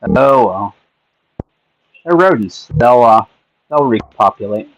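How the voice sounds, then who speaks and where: A man's voice: medium pitch, expressive, takes it slowly, dry recording, muffled recording. A man hosting a casual livestream, joking and chatting to himself while he picks locks. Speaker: livestream host. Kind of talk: casual chat while lockpicking.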